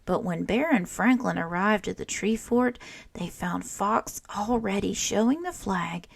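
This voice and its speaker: A woman teacher reads a picture book to children at a slow, unhurried pace. Her voice has a gravelly, creaky edge. The sound is dry and close. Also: medium pitch, dry recording. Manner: slow, expressive storytelling with asides. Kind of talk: children's read-aloud.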